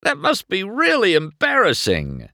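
A man's voice: lowish voice, animated, a little slow, bright, forceful tone, no echo, clean studio sound, pitched above the performer's own voice. A man voicing a smug male rival race car who mocks and taunts. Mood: taunting. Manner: mocking, sneering.

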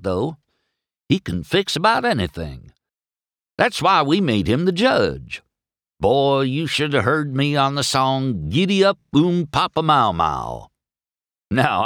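Guy doing a folksy rambling tow truck for a kids story, friendly braggy rustic, pitched up and bouncy. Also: a bit bright, dry recording, clean studio sound, heavily acted.

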